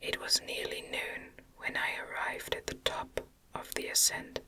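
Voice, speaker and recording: A woman reads a gothic novel aloud in a gentle, measured whisper as an ASMR narrator. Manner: gentle, measured, soft delivery. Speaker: audiobook narrator.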